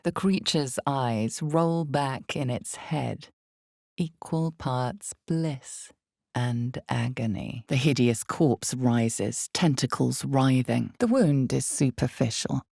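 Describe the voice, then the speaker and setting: A woman performing as an ominous storyteller in a dark fantasy game, narrating in a deliberate, suspenseful, theatrical way with breathy drops toward a whisper. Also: extremely low pitch, no echo, clean studio sound, heavily acted. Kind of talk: dramatic second-person fantasy game narration.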